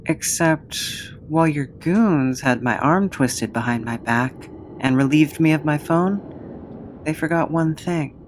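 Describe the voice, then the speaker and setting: A woman playing a cornered, defiant hero in an audio drama, with halting, wry delivery. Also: low-pitched, slight background noise.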